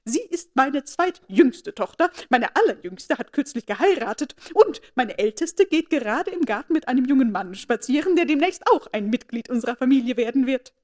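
A woman voicing an excitable, fawning middle-aged mother who talks in an eager, boastful rush. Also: high-pitched, a little fast, hardly any pauses, pitched above the performer's own voice. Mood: gushing.

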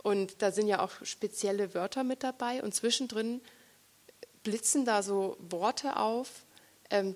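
Reflective woman interviewing an author. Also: mid-range voice. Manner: reflective, searching for words with many pauses. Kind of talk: literary interview at a reading.

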